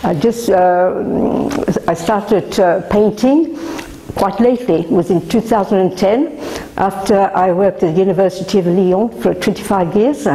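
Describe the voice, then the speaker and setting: An older woman, an artist talking casually at a gallery opening, halting with many fillers and restarts. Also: mid-range voice, warm tone, reverberant, rough recording. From Australia. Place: indoor gallery room.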